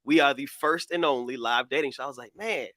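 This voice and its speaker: A man's voice: medium pitch, brisk, sharp tone, no room sound. A man guesting on a dating podcast, upbeat and affirming, laughing easily. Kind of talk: casual podcast guest chat.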